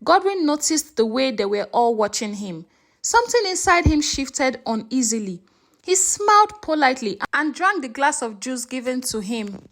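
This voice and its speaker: A woman's voice: sits high, lively intonation, moderate pace, fairly bright tone. A woman storyteller narrating a scripted story in a dramatic way.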